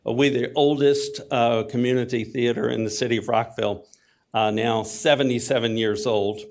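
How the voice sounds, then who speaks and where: A man's voice: medium pitch, fairly even intonation, moderate pace, vocal fry. A man with a Texas accent giving a friendly, appreciative public comment at a city council meeting. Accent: Texan. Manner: folksy, jovial, appreciative. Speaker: public commenter, theater volunteer.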